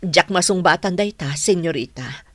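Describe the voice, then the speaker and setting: Respectful Ilocano maid, dry sound. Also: female voice, fairly low pitch, expressive, moderate pace, fairly bright tone, no room sound. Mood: careful, earnest. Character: respectful, careful household servant woman, loyal confidante. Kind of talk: Ilocano radio drama acting.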